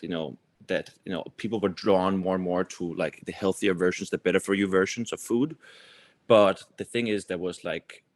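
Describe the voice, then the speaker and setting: A man talks as an interview guest. His voice is low, and he explains things informally with many small asides. The recording is very dry. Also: dry recording. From Copenhagen, Denmark. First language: Danish. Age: 30s. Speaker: company executive, podcast guest. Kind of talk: conversational interview answers.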